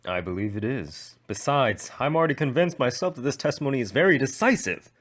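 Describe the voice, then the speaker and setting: A man's voice: medium pitch, expressive, fairly quick, lightly acted. A man voicing an authoritative animal judge, formal and decisive, in an audio drama. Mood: firm.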